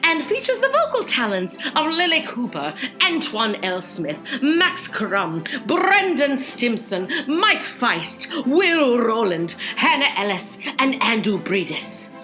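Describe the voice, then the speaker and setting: A woman's voice: high-pitched, pushed and bright. A woman announcer introducing a radio play in a melodramatic, self-important way, stretching words for emphasis. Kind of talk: theatrical scripted narration.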